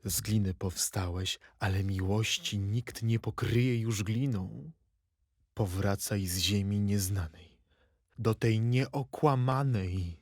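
A man playing a wistful poet reciting memories and verse slowly and dramatically in a literary radio play. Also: on the low side, no echo, clean studio sound. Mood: solemn pleading. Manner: slow, dramatic, dropping at times to hushed tones.

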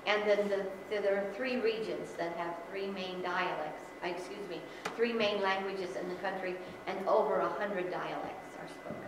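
A middle-aged woman from the Philippines talks informally to a Sunday school class, chatty and given to laughing, restarting her phrases as she goes. She is picked up by a distant camera in a large church sanctuary, so her voice rings with long reverb. Steady background noise sits under her. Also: medium pitch, moderate pace, hardly any pauses. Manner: chatty, laughs often, self-correcting. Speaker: guest speaker. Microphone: far camera. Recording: echoey church hall from far off.

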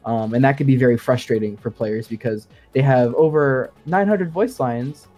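A man's voice: mid-range voice, normal speed, dark tone, soft background music. A man speaking as a guest sound designer in a casual podcast discussion, explaining things informally and with enthusiasm.

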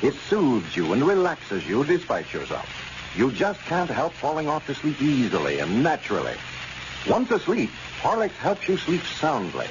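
A man's voice: mid-range voice, huge pitch swings, moderate pace. A man announcing in 1930s radio style, with folksy, polished sales patter and scripted narration. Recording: muffled, noisy old radio recording.

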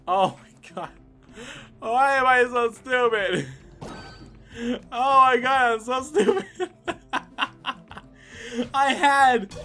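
A man giving casual, excited gameplay commentary as host, exclaiming and swearing as he talks to himself and the game. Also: extremely high pitch. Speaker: gaming video host. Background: game music.

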